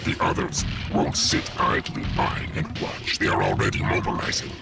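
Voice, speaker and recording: A deep, gravelly alien overlord. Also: male voice, monotone, normal speed, recorded from a distance, loud music behind the voice, full character voice. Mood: scornful. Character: ancient alien overlord, cold and commanding.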